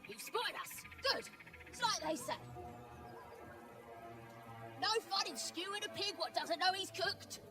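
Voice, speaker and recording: A menacing goblin raider from a video game, high in pitch and very animated. She swaggers and taunts in coarse speech over clear background music. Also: a little slow, pauses often, distant mic. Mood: menacing, taunting. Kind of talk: video game dialogue acting.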